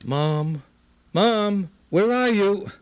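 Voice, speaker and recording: A man's voice: high voice, lively intonation, extremely slow, smooth, no room sound, exaggerated voice. A man playing an earnest, devoted scientist and caring son in an old-time radio drama. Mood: worried, urgent. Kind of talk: Golden Age radio drama acting.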